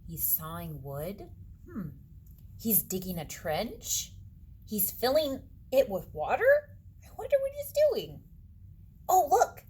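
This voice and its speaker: A woman reading a picture book aloud to children, dramatically, with hushed suspenseful moments and asides to her young listeners. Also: medium pitch, wildly swooping pitch, takes it slowly, long pauses, husky. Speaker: read-aloud storyteller for children.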